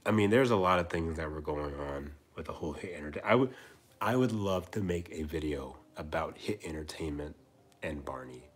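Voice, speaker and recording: A man in his twenties hosting a casual livestream chat, rambling and repeating himself with soft trailing asides. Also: rumbling low, lively intonation, fairly quick, slightly rough. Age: 20s. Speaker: livestream host.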